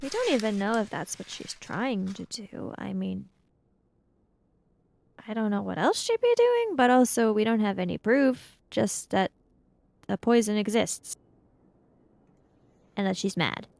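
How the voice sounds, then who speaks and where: A woman's voice: mid-range voice, very animated, unhurried, creaky, pauses often, darkish tone, dry recording. A woman playing a bookish, argumentative schoolgirl in an improvised tabletop adventure, wordy and pedantic. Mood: doubtful. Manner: pedantic, wordy, indignant when teased.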